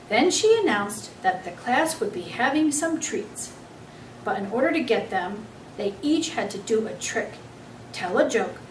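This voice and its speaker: A woman reading a children's book aloud as an expressive storyteller. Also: fairly high pitch, distant mic. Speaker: story reader. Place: echoey room, away from the mic. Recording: roomy, off-mic, faint background noise.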